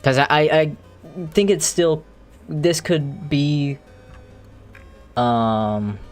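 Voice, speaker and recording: A streamer thinking aloud in a halting, casual way while doing live coding commentary, with an unclear, mid-range voice. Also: medium pitch, lively intonation. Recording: music playing behind the voice. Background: music.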